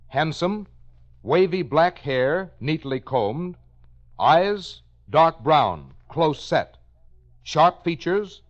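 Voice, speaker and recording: Higher-voiced male 1940s radio announcer, clipped delivery. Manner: clipped, deliberate fact-by-fact delivery. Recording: dull old radio recording.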